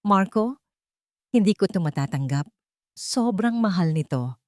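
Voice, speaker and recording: A gentle young female caregiver in an audio romance, acted by a woman narrator. She speaks politely and shyly, with a humble hesitance. The sound is dry and intimate. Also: on the low side, animated, unhurried, no room sound. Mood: overwhelmed.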